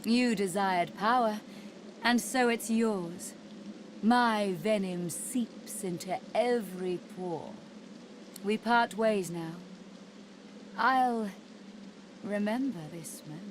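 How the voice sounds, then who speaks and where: A woman's voice: medium pitch, a little hiss or hum, lightly acted. A woman voicing a seductive, menacing were-spider in woman's form, speaking in a sultry, formal manner for a fantasy game. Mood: ominous, parting. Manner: slow, sultry, formal phrasing.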